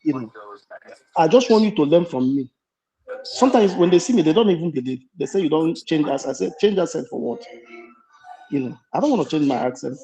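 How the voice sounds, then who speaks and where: A man's voice: fairly high pitch, lively intonation, brisk, dark tone. A man with an Igbo Nigerian accent, a guest on a live panel, chatting and telling stories from his own life. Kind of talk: casual live panel chat. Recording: muffled lo-fi call audio.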